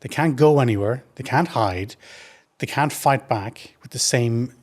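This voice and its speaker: An Irish man of mid pitch speaks as a trauma surgeon in an interview. He talks in an earnest, measured way and often restarts his sentences as he thinks them through. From Ireland. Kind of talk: reflective interview answers.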